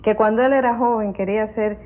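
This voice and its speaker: A woman's voice: mid-range voice, fairly even intonation, quick pace. A woman in an interview fondly recalling a family member, chatty with small fillers. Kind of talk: reminiscing interview. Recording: phone-like interview audio with light noise.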